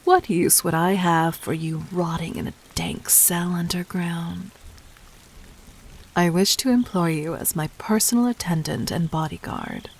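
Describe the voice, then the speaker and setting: A woman playing a gentle but commanding, seductive demon queen in an intimate audio roleplay, speaking softly and formally in a breathy near-whisper. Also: on the low side, a little hiss or hum. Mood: composed, persuasive.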